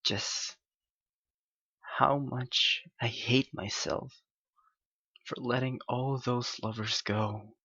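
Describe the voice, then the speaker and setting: A man playing a clingy, heartbroken young yandere lover in an intimate ASMR roleplay, halting and pleading in his anguish. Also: mid-range voice, steady tone, sharp tone, breathy edge, no room sound, highs cut off, exaggerated voice. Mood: subdued self-loathing.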